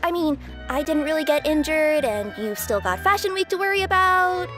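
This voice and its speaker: A woman's voice: high voice, animated, normal speed. A woman voicing a cheerful but nervous young cat spirit courier in a video game, with a hesitant, sheepish way of talking. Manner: hesitant, sheepish, with many filler sounds. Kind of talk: game voice acting. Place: recording studio. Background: game music.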